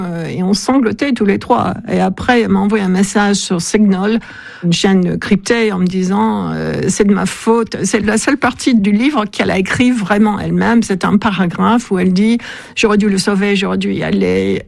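A woman speaks as an author in a radio interview, her voice gravelly with a slight fry. She picks her words with care and often pauses to search for the next phrase. The recording is close and dry. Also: mid-range voice, darkish tone, no room sound. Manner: thoughtful, with frequent hesitations. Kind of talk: recounting a story in a radio interview.

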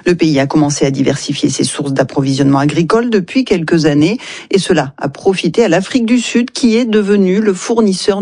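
Woman reading a market report, steady pace, dry close sound. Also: deep voice, dry recording. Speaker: commodities columnist. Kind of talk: scripted radio report.

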